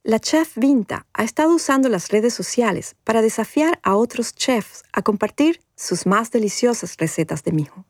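A woman narrating a scripted documentary podcast as its host, with a measured, formal delivery. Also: on the high side, lively intonation, no room sound, studio quality.

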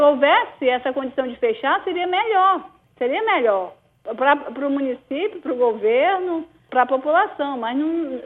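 A Brazilian-accented woman speaks over a thin, tinny phone line. Her voice sits high, and she answers questions hesitantly, with many false starts and fillers, as a town mayor. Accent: Brazilian Portuguese.

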